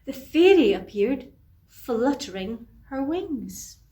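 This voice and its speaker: A woman tells a children's bedtime story in a fairly high voice. She goes very slowly and deliberately, with expressive phrasing and asides for young listeners. The room is noticeably echoey.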